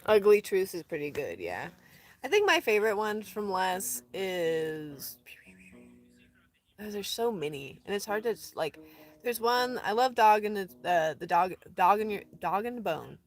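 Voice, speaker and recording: A woman from Colorado chatting casually on a live stream outdoors in the desert, rambling, laughing often and dropping into soft asides. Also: mid-range voice, sing-song, unhurried, faint music behind. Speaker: van-dwelling traveler streaming live.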